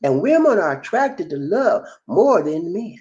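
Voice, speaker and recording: A man's voice: high-pitched, sing-song, unhurried, a little mellow, dull audio. A man hosting a casual livestream, reading viewer comments in a playful, teasing way. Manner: Southern American vernacular, playful and teasing. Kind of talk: casual chat.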